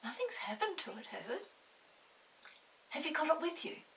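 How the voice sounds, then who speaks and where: Excitable schoolgirl, acted by a woman: eager, breathless, anxious, bright tone, slightly off-mic for a storybook. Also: high voice, normal speed, pitched above the performer's own voice, exaggerated voice. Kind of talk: children's storybook character reading. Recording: distant mic with faint hiss.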